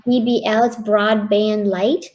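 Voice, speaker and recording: A woman's voice: mid-range voice, flat delivery, measured pace, a little mellow, poor audio. Middle-aged woman explaining skin treatments. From Kentucky, USA. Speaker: guest, cosmetic physician assistant. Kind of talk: interview guest explaining her work.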